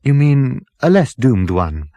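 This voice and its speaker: Man acting a bewildered everyman, pitched high. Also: very animated, takes it slowly, dark tone, dry recording, lo-fi sound. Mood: puzzled. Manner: flustered, disbelieving questions. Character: bewildered, incredulous male everyman, mildly indignant. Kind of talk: comic science fiction audiobook character.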